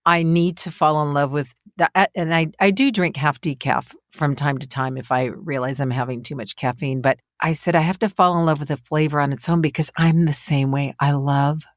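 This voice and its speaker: A woman's voice: low voice, fairly even intonation, normal speed, hardly any pauses, no echo, studio quality. A woman hosting a podcast in a chatty interview style, with an effusive, complimentary manner. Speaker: podcast host.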